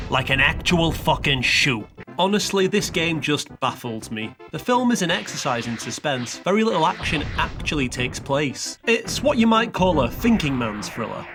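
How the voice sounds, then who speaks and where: Animated, sarcastic man over music. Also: fairly high pitch. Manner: sarcastic, sweary, mock-dramatic. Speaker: narrator of a video game countdown review. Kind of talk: scripted comedic review narration. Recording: music playing behind the voice. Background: background music.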